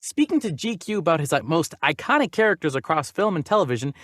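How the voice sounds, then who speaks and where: Animated man chatting as podcast co-host. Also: a little high, quick pace, no room sound, clean studio sound. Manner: chatty, enthusiastic self-described geek. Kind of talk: casual movie news podcast chat.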